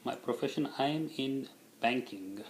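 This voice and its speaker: A man's voice: medium pitch, moderate pace, roomy sound, slight background noise, poor audio. A middle-aged man from India, a native Tamil speaker with an Indian accent, answering interview questions in a casual, reflective way.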